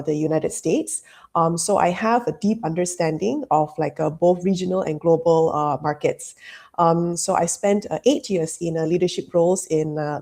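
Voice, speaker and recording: A woman's voice: on the low side, mellow. A woman, a consultant answering interview questions hesitantly, with many fillers. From Malaysia. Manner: hesitant, full of "um" and "you know, like" fillers. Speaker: business consultant, guest.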